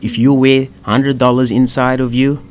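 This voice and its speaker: A man co-hosting a casual coaching chat about self-concept, emphatic and fond of repeating phrases and saying "boom". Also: flat delivery, moderate pace, a little mellow, slight background noise. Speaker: manifestation coach, co-host.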